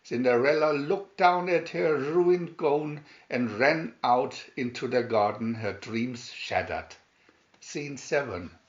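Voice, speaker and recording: A man's voice: medium pitch, slow pace, muffled recording. A man narrating a fairy tale aloud from a storybook in a dramatic style.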